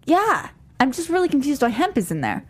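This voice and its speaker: A woman's voice: high voice, wildly swooping pitch, brisk. A woman chatting casually as a co-host on a talk show. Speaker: podcast co-host. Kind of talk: casual chat.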